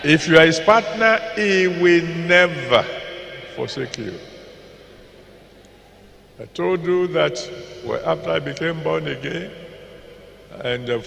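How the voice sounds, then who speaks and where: An older man with a Nigerian accent preaching a sermon into a handheld mic in a large packed auditorium, slowly repeating scripture references and decreeing blessings. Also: a little high, lively intonation, creaky, a bit bright, slight background noise. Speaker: pastor. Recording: echoey hall with long reverb.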